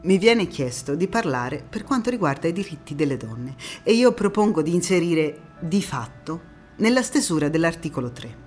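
A woman's voice: sits low, big pitch swings, moderate pace, faint music behind. An actress performing a theatrical monologue as a firm, young female politician who moves between oratory and intimate recollection. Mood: quiet, confiding. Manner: impassioned oratory alternating with quiet intimate narration. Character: young female Constituent Assembly deputy, firm, indignant, reflective, ironic. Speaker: stage actress.

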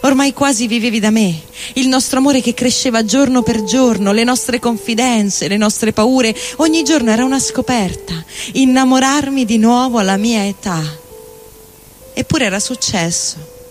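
Casting: actor as middle-aged heartbroken man, high voice, anguished stage monologue with guitar backing. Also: moderate pace. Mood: wistful, aching. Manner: anguished, dramatic, literary delivery. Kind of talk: theatrical literary monologue reading over music. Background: electric guitar and loops.

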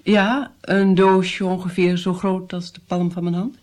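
A woman's voice: lowish voice, flat delivery, measured pace, darkish tone, close to an everyday voice. A woman playing a haughty, commanding princess in a radio drama. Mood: haughty, brisk. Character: imperious, brisk pale noble princess.

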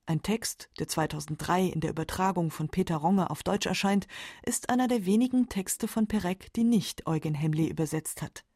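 Warm, dark-toned woman narrator. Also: fairly low pitch, dry recording, clean studio sound. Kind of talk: scripted documentary narration.

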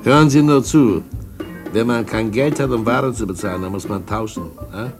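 Actor as a smug low-voiced male blackmailer. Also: moderate pace, over music, natural voice. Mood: mocking, threatening. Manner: smug, cajoling, mock-courteous, chuckling. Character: sly sinister male blackmailing drug dealer, mockingly polite. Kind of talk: mid-century German radio drama acting.

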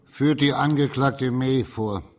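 An actor playing a stern, shrewd magistrate in a German radio crime drama, authoritative and terse. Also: male voice, medium pitch, slow, darkish tone, lightly acted. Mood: stern, composed.

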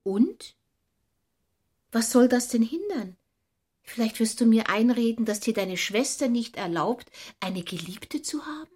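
An actress playing a wounded, defiant mistress in a literary radio drama, with a husky, breathy voice that often nears a whisper. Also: female voice, on the high side, expressive, measured pace, a little mellow, no room sound, clean studio sound. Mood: challenging. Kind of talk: literary audio drama, hushed narration and dialogue.

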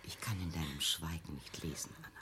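An actress in a radio play playing an anxious, controlling mother, hushed and insinuating, with a husky, breathy voice. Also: extremely low pitch, fairly quick, background noise. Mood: worried, probing.

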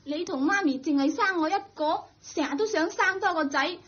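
Young tomboy daughter, very high girlish voice, Cantonese, pert, bossy, muffled radio-play recording. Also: moderate pace, fairly bright tone. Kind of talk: Cantonese radio drama acting.

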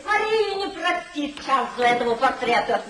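A playful young society wife in a period comedy on old radio. Her voice is high and coquettish. The delivery is mannered and theatrical. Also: very animated, moderate pace, background noise. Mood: gleeful. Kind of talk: 1950s Russian theatrical radio acting.

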